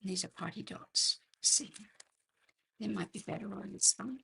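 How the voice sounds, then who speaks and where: An Australian woman with a croaky voice hosts a casual live craft tutorial, chatting and murmuring to herself as she works. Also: medium pitch, sharp tone, slight background noise. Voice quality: husky, croaky. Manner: unhurried, chatty, murmuring to herself while working. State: croaky voice, clearing throat. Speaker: host of a craft demonstration livestream.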